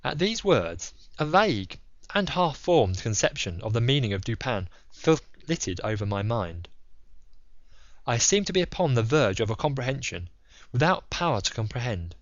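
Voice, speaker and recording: A man's voice: mid-range voice, sing-song, moderate pace, dry recording, lo-fi sound. A man reading first-person literary narration as an audiobook narrator.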